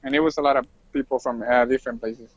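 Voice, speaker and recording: A man's voice: medium pitch, flat delivery, fast talker, slightly rough, dry recording, dull audio. A man chatting casually as a podcast guest, slangy and repeating phrases for emphasis. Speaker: podcast guest, fighting game player. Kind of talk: casual chat.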